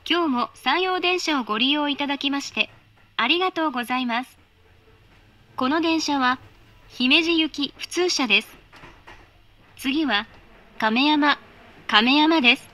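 A woman giving polite, formal automated train announcements in a measured voice. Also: sits high, bright, forceful tone.

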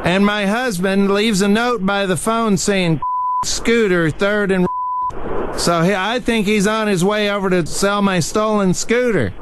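A man's voice: high voice, fairly even intonation, noisy background. A man voicing a cranky, foul-mouthed older housewife in a crude, complaining manner on a prank phone call. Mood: aggrieved. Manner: crude, aggrieved, drawn-out complaining.

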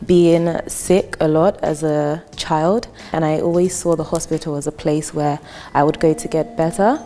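A woman's voice: low voice, steady tone, moderate pace, mellow. A woman in her twenties, a student speaking casually in a testimonial interview with a lapel mic. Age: 20s. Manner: casual, chatty with fillers. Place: indoor hall with wooden wall. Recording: reverberant hall with light music.

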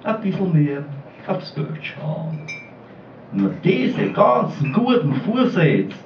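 A man speaks slowly with a lively, swinging pitch, halting on ums before each comic verse. The sound is distant and roomy, dulled like a camcorder in a small pub. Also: dull audio, slight background noise. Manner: halting, ums between bits, jokey. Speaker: slam poet. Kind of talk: comic dialect poem recital.

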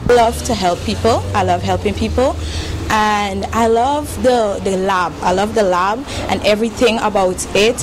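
Woman, 22, heartfelt, unhurried, noisy recording. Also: fairly high pitch. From Guyana. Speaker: aspiring chemist, interviewee. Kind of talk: interview answers. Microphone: handheld mic.

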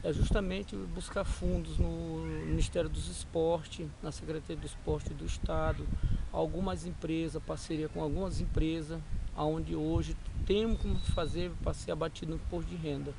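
A middle-aged man gives informal interview answers in a slightly high, gravelly voice. He speaks haltingly and repeats phrases. The outdoor camera recording is extremely noisy. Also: steady tone. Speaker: amateur sports organizer being interviewed. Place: open-air football ground. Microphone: camera at close range.

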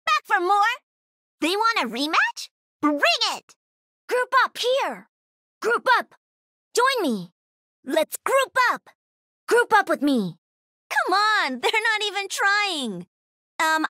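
An actress playing a cocky, playful young pro-gamer mech pilot in a video game, with peppy, punchy delivery. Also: female voice, very high voice, big pitch swings, slow, pauses often, sharp tone, no echo, studio quality, exaggerated voice. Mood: confident, commanding. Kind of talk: video game character voice lines.

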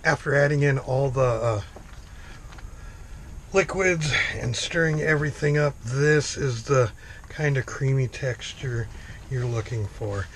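A man hosting a home cooking show, explaining each step in a relaxed, halting way with many fillers. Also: medium pitch, a little hiss or hum. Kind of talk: casual cooking demonstration. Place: home kitchen, indoors.

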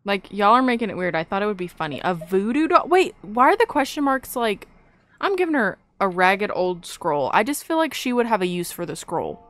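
Young female gaming YouTuber: chatty, playfully incredulous, mid-pitched with lively swings, casual. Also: a little fast, dry recording. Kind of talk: casual gameplay commentary. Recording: game music behind the voice.

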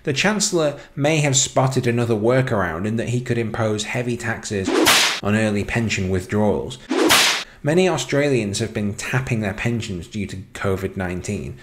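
Male presenter, lower register, measured scripted explainer read with announced points. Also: slight background noise. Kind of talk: scripted explainer narration over slides.

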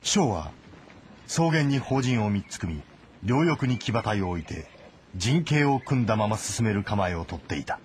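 A man's voice: lowish voice, big pitch swings, normal speed, slight background noise. A man giving dramatic scripted narration for a historical game, as its narrator.

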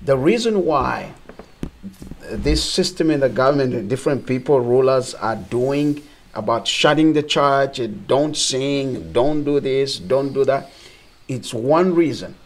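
A man's voice: medium pitch, lively intonation, unhurried. A middle-aged man from Uganda, a missionary guest on a discussion panel, speaking earnestly and growing emphatic. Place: small indoor studio set.

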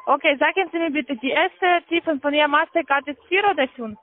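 A woman in German, giving insistent, halting instructions as a call-centre agent on the phone. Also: high voice. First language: not German. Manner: insistent, halting non-native phrasing. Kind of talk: pushy scripted phone instructions. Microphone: telephone call. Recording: dull lo-fi phone line.

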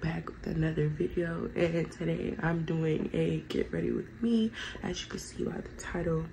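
A woman's voice: low voice, steady tone, moderate pace, creaky, background noise. Stuffy-nosed woman chatting to camera. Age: young adult. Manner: chatty, rambling, addresses viewers as y'all. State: stuffy nose. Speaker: vlogger. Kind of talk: casual get-ready-with-me chat. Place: bedroom at home, indoors.

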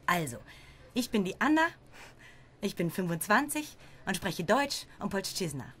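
A woman's voice: mid-range voice, very animated, normal speed, a bit bright, husky. An actress playing a chirpy, over-friendly woman in her twenties in a radio drama. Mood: cheerful, eager. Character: chirpy, eager 25-year-old group organiser. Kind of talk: German radio drama acting.

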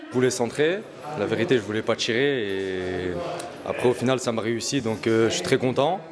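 A man's voice: mid-range voice, brisk, a loud crowd. A young man, a footballer, giving a modest post-match interview into a handheld mic at the stadium. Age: young adult. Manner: modest, hesitant. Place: stadium mixed zone.

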